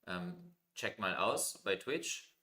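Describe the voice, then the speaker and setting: Deep-voiced, bright, chatty male host. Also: lively intonation, takes it slowly, echoey room. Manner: chatty and joking, keeps the talk moving. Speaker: stream host and chess commentator. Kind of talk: casual livestream chat.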